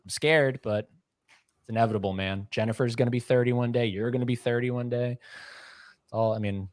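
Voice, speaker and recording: A man in his late thirties co-hosts a casual livestream, joking at his own expense. His voice is middle-pitched and recorded close and dry. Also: steady tone, a little fast, darkish tone, no room sound. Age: 30s. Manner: joking, self-deprecating. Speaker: co-host.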